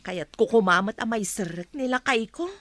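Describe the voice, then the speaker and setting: A woman's voice: on the high side, moderate pace, fairly bright tone, natural voice. A woman with a Filipino accent acting an exasperated schoolteacher wife who nags and scolds in a radio drama. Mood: chatty. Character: exasperated nagging schoolteacher wife, the household breadwinner. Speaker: actor in an audio drama.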